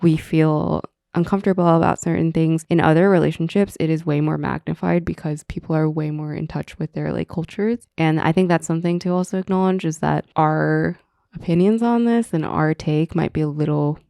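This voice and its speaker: Young woman, low fry-laden voice, chatty podcast co-host, giggly, dry close sound. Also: steady tone, brisk, mellow, no echo. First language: Chinese. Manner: chatty, giggly, lots of "like" fillers. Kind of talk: casual podcast chat.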